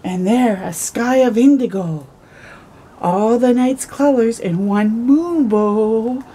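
Slow, mellow middle-aged woman reading aloud. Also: on the high side, slightly rough, low-quality recording. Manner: expressive, slow storytelling with gasps and drawn-out words. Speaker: children's storytime host. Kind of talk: read-aloud of a picture book with asides. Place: outdoors, porch or yard in early morning.

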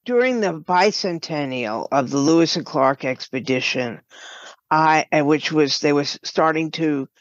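Female podcast guest, very slow and halting delivery, dull lo-fi sound. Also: sits low, no echo. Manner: halting, with broken-off sentences. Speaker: guest, writer and audio drama producer. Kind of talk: podcast interview, explaining her work.

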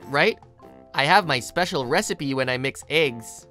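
A woman's voice: very deep voice, lively intonation, unhurried, fairly bright tone, heavily acted. A woman playing a kind, responsible young office worker and uncle in a story, with an earnest, attentive manner. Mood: friendly. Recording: music behind the voice.

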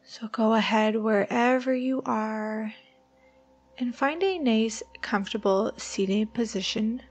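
A woman leading a guided meditation, giving soothing, unhurried instructions with long pauses between phrases. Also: a little high, dull audio. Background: background music.

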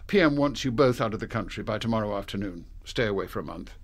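An actor playing a dry, authoritative British intelligence chief in a radio drama, clipped and matter-of-fact. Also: male voice, on the low side, expressive, quick pace. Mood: brisk.

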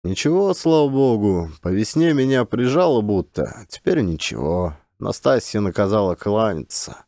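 A man's voice: lowish voice, very animated, moderate pace, warm tone, no echo, clean studio sound. A man voicing a small, meek, long-suffering peasant forester with mild, resigned, folksy rural speech in an audiobook.

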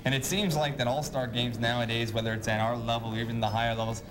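A man's voice: medium pitch, flat delivery, fast talker. A young man hosting a broadcast sports interview in a polished, wordy announcer style. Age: young adult. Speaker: sports show host, interviewer. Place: sports grill, indoors, at a table. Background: clear ambient noise.

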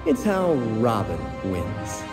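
An actor playing an earnest, bookish young vigilante sidekick in a video game cutscene. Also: male voice, medium pitch, huge pitch swings, takes it slowly, full character voice. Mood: quietly confident. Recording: reverberant hall under loud music.

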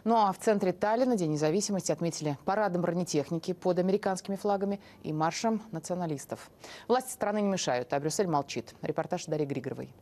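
A woman anchoring the news in the studio, reading the lead-in quickly and formally. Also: fairly low pitch, darkish tone.

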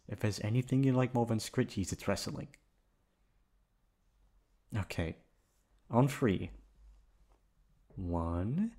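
A man playing an affectionate, teasing young boyfriend in a roleplay audio, coaxing playfully with drawn-out words. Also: mid-range voice, gravelly, darkish tone, full character voice. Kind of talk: roleplay ASMR audio acting.

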